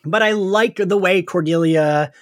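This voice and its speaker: A man speaks in relaxed, informal conversation as a podcast co-host. He is chatty and reactive, talking as he would among friends. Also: high voice, measured pace. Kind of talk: casual chat.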